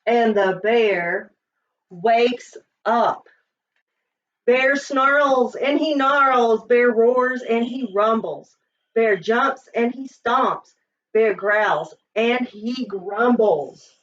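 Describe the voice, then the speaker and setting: A woman reading a children's picture book aloud in an expressive, sing-song storytelling manner. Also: on the high side, very slow, a little hiss or hum. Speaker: storybook reader. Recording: slightly dull, distant microphone.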